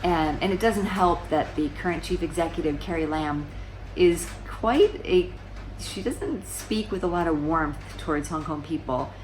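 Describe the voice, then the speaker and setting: A woman's voice: low voice, a little slow, darkish tone, slight background noise, poor audio. A woman in her forties explaining current events to camera in a casual, conversational way, often restarting sentences and asking rhetorical questions. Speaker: vlogger. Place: small room indoors, seated on a sofa.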